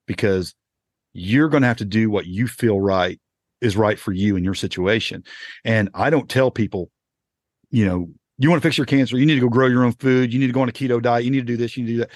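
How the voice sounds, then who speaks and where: A man's voice: lowish voice, no room sound, clean studio sound. A man hosting a podcast in casual chat, rambling and correcting himself, laughing often. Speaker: podcast host.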